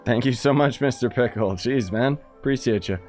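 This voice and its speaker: A man's voice: medium pitch, normal speed, darkish tone. Male streamer, casual sarcastic play-by-play, faint game music behind. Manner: sarcastic, rambling. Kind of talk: casual gameplay commentary.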